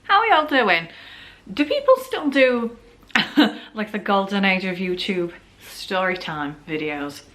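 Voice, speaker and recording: A woman's voice: mid-range voice, expressive, takes it slowly, noisy background. Chatty woman, sore throat. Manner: chatty, jokey, full of filler "like"s. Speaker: vlogger. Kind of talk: casual storytime chat.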